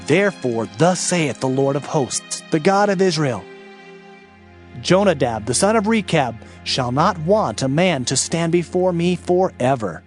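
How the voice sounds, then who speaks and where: Solemn prophet with rising, falling declamation. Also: male voice, a little high, animated, measured pace. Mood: stern proclaiming. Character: Jeremiah, solemn Hebrew prophet proclaiming God's warnings. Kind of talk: dramatized audio Bible acting. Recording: background music under the voice.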